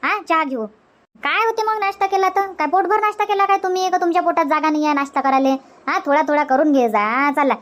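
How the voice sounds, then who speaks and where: Give the part to a woman playing a middle-aged village housewife from a comedy cartoon. Her voice sits very high, with a rustic Varhadi Marathi accent. She is chatty, insistent and teasing, in homely colloquial speech. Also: quick pace, a bit bright. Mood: insistent, hospitable. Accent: Varhadi Marathi from Vidarbha.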